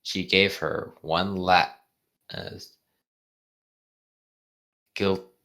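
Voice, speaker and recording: A person reading fan fiction aloud and chatting about it casually, often halting and stumbling over words. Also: deep voice, level tone, bright, forceful tone. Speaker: fan fiction reader and co-host. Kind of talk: casual commentary and read-aloud.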